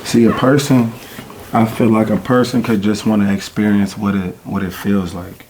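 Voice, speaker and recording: A man's voice: lowish voice, little pitch movement, normal speed, background noise. A man in his twenties, a podcast panel guest who thinks aloud and hesitates with fillers. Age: 20s. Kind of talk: casual panel discussion.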